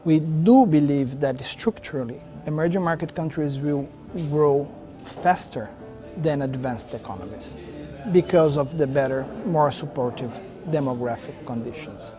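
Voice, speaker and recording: A man's voice: fairly high pitch, measured pace. A man stating his team's investment views in a corporate interview, earnest and stressing his convictions. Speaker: emerging market debt investor. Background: faint music.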